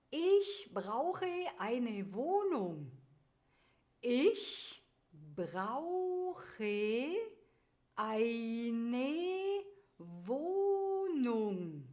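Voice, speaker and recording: A woman's voice: fairly high pitch, a little mellow. A woman teaching German to beginners, saying each sentence word by word and then whole, with an English prompt first. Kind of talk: slow repetition drill for beginners.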